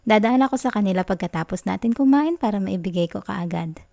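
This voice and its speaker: Mellow, affectionate Filipino girlfriend played by a woman. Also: mid-range voice, expressive, brisk, creaky. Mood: reassuring, sweet. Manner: soft, intimate, giggly, teasing. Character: affectionate, caring young girlfriend, playful and sweet. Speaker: ASMR roleplay performer. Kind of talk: affectionate girlfriend ASMR roleplay in Taglish.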